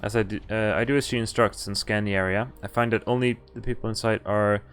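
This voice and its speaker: Low-voiced man streaming a visual novel. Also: fairly even intonation, fairly bright tone, soft background music. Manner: halting asides, casual swearing. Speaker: game streamer. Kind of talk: reading a visual novel aloud with casual commentary.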